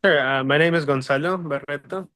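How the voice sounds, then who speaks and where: Bright, clear-voiced Peruvian-born man. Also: fairly high pitch, a little fast, no room sound, clean studio sound. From Peru. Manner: hesitant, many fillers and restarts. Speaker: interviewee. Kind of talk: casual interview answers. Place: Seoul, South Korea.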